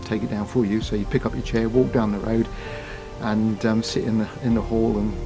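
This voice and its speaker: A man in his seventies from Guernsey, telling lively school memories with many pauses and restarts. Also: fairly low pitch, over music. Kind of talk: casual reminiscing.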